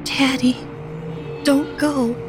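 Casting: male narrator as frightened hurt little girl, raised pitch, breathless, pleading, music behind. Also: little pitch movement, extremely slow, full character voice. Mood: weak, pleading. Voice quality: breathless, strained, higher than the narrator. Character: injured young daughter, weak, pleading, frightened.

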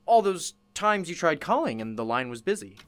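An actress playing a curious, chatty interviewer in a radio play, with a casual, reactive way of asking questions. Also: female voice, deep voice, big pitch swings, normal speed, dry recording.

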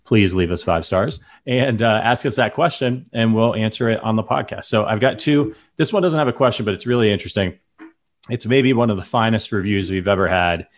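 A man's voice: on the low side, brisk. A man hosting a sports podcast in a casual, conversational way, steering the talk and reading listener mail. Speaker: podcast host. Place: lives in Chicago.

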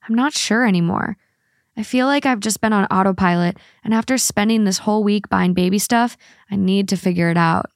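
A woman co-hosting a casual podcast, reading long online posts aloud in an even, steady way and chatting between them. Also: mid-range voice, no echo, clean studio sound. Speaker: podcast co-host.

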